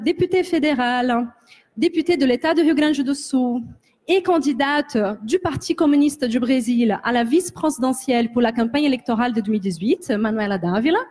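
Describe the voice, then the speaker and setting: A woman whose first language is Portuguese moderates a panel debate. Her voice sits high, and she introduces the topic and her guests in a measured, formal way. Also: darkish tone.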